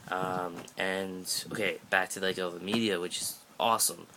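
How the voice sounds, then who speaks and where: Teen guy rambling into his phone, low voice but bright and forceful, lots of ums, faint hiss behind. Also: steady tone, moderate pace, poor audio. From Canada. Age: teens. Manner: rambling, chatty, jokey, many fillers and restarts. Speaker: vlogger. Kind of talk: casual update vlog. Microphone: phone held up at arm's length.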